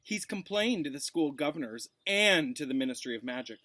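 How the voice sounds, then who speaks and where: A man's voice: on the high side, lively intonation, a little fast, pushed and bright. A man voicing a smug, spoiled schoolboy bully with a drawling, mock-pitiful delivery in a storybook reading.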